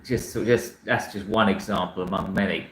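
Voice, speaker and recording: Rambling South London man, low voice. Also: fairly even intonation, normal speed, reverberant, low-quality recording. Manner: rambling, self-correcting, frank. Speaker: book channel host. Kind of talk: casual chat reading viewer comments.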